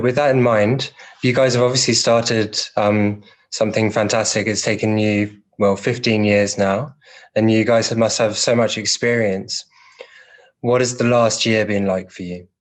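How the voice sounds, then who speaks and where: Flat-voiced man hosting a podcast. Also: on the low side. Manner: supportive, with "um" fillers as he phrases questions. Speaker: podcast host. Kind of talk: interviewing.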